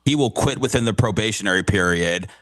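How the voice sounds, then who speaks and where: A man speaks as a radio show regular in a somewhat low voice. His delivery is casual and earnest, captured dry with no room sound. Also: fairly quick, a bit bright, dry recording. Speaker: radio show cast member.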